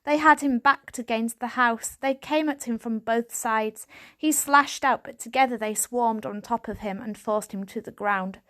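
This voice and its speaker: A woman's voice: a little high, moderate pace, bright, forceful tone. A woman reading a children's audiobook as a dramatic scripted narration. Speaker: audiobook reader.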